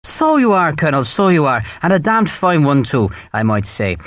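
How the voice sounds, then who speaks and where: A man's voice: a little high, big pitch swings, moderate pace, no room sound, voice pushed up. A man voicing a wry, mocking Irish army major with a thick Irish accent and a sweetly sarcastic manner.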